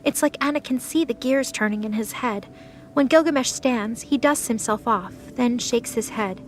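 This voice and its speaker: A woman's voice: on the high side, normal speed, a little hiss or hum. A woman narrating a scripted light novel audio drama.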